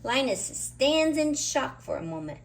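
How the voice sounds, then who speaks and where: A woman reading a children's picture book aloud in an expressive, emphatic way, drawing out key words. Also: sits high, unhurried, creaky. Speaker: children's storybook reader. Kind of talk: animated read-aloud narration of a picture book.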